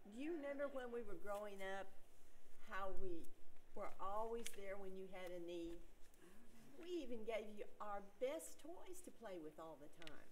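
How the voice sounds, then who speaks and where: A woman's voice: medium pitch, expressive, normal speed, slight background noise, lightly acted, low-quality recording. A woman playing a scheming cousin who feigns concern and coaxes with leading questions, in an amateur staged play reading. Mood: coaxing.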